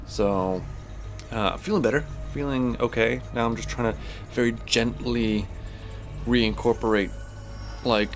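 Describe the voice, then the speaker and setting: Man, low-pitched, gaming host, casual rambling chat, many fillers, slightly congested voice, faint music behind. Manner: rambling, heavy on fillers and restarts. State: slightly stuffed-up voice while recovering from illness. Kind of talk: casual chat while playing a space game.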